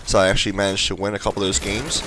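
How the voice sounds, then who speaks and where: A young man with a slightly low, congested voice talks casually through a gameplay video, rambling a little with ums and uhs. Gunfire and explosions from the game are loud behind him. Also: flat delivery, rapid-fire, background noise. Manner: chatty, rambling with fillers. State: stuffed up, congested. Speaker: gaming channel host.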